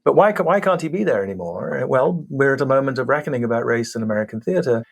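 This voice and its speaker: A man's voice: medium pitch, lively intonation, fast talker, gravelly, breathy edge. A man in an analytical discussion, feeling his way hesitantly toward precise phrasing. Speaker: theater discussion panelist.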